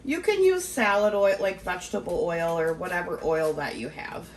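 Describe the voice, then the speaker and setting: A woman hosting a home canning tutorial, talking in a relaxed, chatty way, a little congested from a cold. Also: on the low side, moderate pace, roomy sound, slight background noise. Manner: relaxed, chatty, laughs easily. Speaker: home canning channel host. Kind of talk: casual cooking tutorial.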